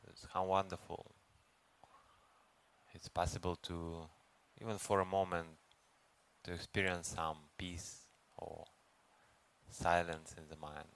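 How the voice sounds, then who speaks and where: A man leads a guided meditation as a teacher, speaking gently and unhurriedly with long pauses. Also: sits low, flat delivery, smooth. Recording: noisy recording with ambient background sound.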